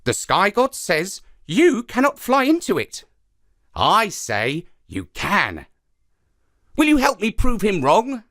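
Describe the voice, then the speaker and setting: A man's voice: high-pitched, wildly swooping pitch, slow, a bit bright, dry recording, clean studio sound, pitched above the performer's own voice, exaggerated voice. A man voicing a small, wily, boastful trickster spider in a children's folktale, with a confident, persuasive manner. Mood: sly, eager.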